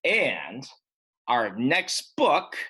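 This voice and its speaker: A man's voice: medium pitch, huge pitch swings, drawn-out words, sharp tone, slightly rough. A man teaching young children in a casual read-aloud style, chatty and speaking directly to his pupils. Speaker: elementary school teacher.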